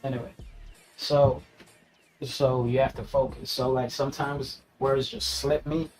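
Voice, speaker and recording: A man's voice: mid-range voice, level tone, unhurried, darkish tone, faint music behind. A man chatting casually in an interview, laid-back and slangy, laughing often and filling with "you know what I mean.". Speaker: rapper guest.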